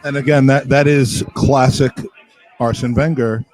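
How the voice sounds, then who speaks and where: A man's voice: mid-range voice, fairly even intonation, unhurried, a little mellow, poor audio. A man hosting a football podcast in a casual, chatty style. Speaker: podcast host. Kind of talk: casual sports chat.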